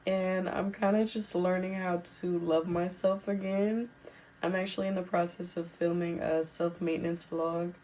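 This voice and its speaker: A woman hosting a podcast as casual solo girl talk, informal and reassuring, her voice slightly raspy as she recovers from being sick. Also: fairly low pitch, level tone, moderate pace, mellow, a little hiss or hum, low-quality recording. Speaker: podcast host.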